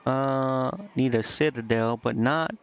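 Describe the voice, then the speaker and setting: Gravelly man, muffled game stream. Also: medium pitch, fairly even intonation, slow pace. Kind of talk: casual gameplay commentary, reading guide text aloud. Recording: muffled lo-fi stream audio over game music. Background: game music.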